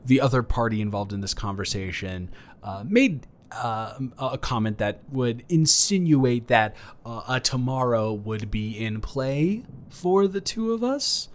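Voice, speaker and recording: A man's voice: medium pitch, animated, lightly acted. Man playing a flustered, flirty neighbor with swooping intonation. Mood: bashful, hopeful. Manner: stammering, halting, playfully awkward, earnest. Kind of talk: improvised romantic roleplay audio.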